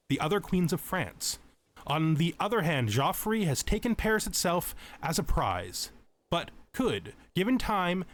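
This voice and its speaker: A man with a voice pitched a little higher than average hosts a let's play. He moves between explanatory commentary and measured readings of lore, told in a storyteller's fashion. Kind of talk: tutorial game commentary mixed with reading lore text aloud.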